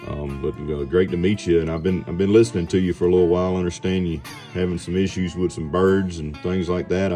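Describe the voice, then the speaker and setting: A man's voice: sits low, warm tone. An American man hosting a casual amateur radio vlog, talking through his contacts and giving call signs.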